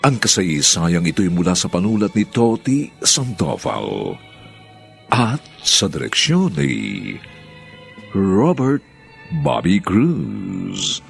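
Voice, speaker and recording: A man announcing a Filipino radio drama with theatrical, scripted narration and drawn-out phrasing. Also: low-pitched, wildly swooping pitch, vocal fry, faint music behind. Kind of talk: dramatic scripted narration, reading cast credits.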